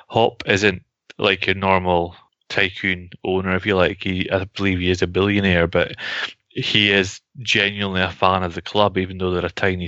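Bloke explaining stuff on a podcast, deep flat voice, dry muffled mic. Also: male voice, no room sound. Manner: flat, even explaining with repeated restarts. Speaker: podcast guest analyst. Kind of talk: casual podcast analysis. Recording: dull lo-fi sound.

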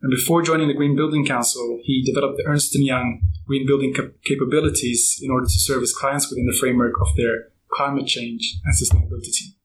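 A man hosting a podcast, reading a guest introduction steadily and then asking questions with soft backchannel replies. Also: mid-range voice, steady tone, quick pace, hardly any pauses, a little hiss or hum, poor audio. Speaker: podcast host. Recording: faint music behind.

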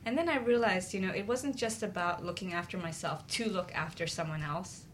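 A woman with a slightly low voice speaks as a co-host in casual conversation. She is earnest and confiding, telling things from her own life. There is light noise in the recording. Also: fairly quick. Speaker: talk show co-host. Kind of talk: conversational personal storytelling.

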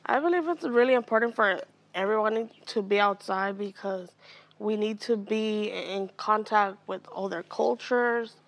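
A young woman from Oakland, an educator and mentor, answering interview questions outdoors in a slow, careful way. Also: mid-range voice, fairly bright tone. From Oakland, California.

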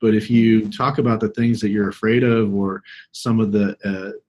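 Man in his thirties, slightly low husky voice, podcast host, conversational, emphatic repetition. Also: little pitch movement, normal speed, a little mellow, lo-fi sound. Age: 30s.

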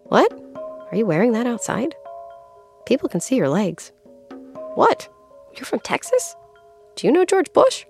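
Woman putting on a nosy, exclaiming elderly neighbor, high-pitched. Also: wildly swooping pitch, unhurried, long pauses, darkish tone, soft background music, heavily acted. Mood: scolding, astonished. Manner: exclaiming, questioning. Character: nosy, scolding seventy-three-year-old Armenian neighbor.

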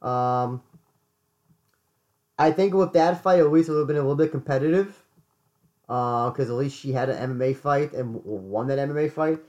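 A man co-hosting a casual podcast chat, hoarse, often stumbling and repeating words. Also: mid-range voice, normal speed, long pauses, darkish tone, muffled recording. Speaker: podcast co-host.

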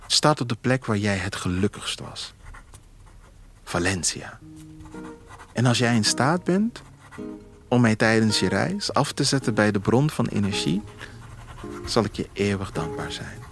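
A man voicing a reflective man reading a beloved's farewell letter, tender, slow and hushed, in an audio drama. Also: fairly low pitch, expressive, soft background music. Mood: tender, solemn.

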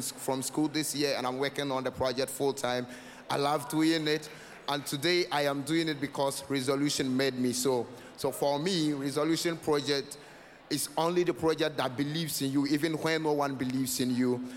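A man in his twenties with a Ghanaian accent gives an earnest personal speech of thanks, repeating phrases for emphasis. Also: on the high side. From Northern Ghana. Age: 20s. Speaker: project founder, guest speaker. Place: large event hall. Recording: echoey hall with background noise.

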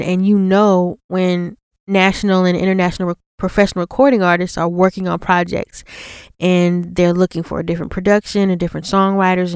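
Smooth-voiced woman hosting a podcast. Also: fairly low pitch, darkish tone, dry recording, studio quality. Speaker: podcast host. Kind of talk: casual podcast talk with advice.

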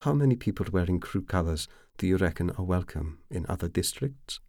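A man's voice: low voice, animated, brisk, darkish tone, no echo, clean studio sound. A stern, weathered woman who leads a crew in a fantasy tale, voiced by a male narrator. She speaks coolly, with treacly menace, and is never impressed. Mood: guarded.

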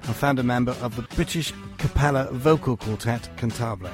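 A man presenting a radio music show, reading a scripted introduction and asking interview questions in a measured, formal way. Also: medium pitch.

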